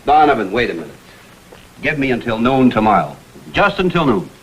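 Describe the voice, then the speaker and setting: Vintage TV crime drama: persistent American photographer-detective, urgent, earnest delivery, animated intonation. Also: male voice, medium pitch, fairly quick, slightly rough, low-quality recording. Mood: urgent pleading.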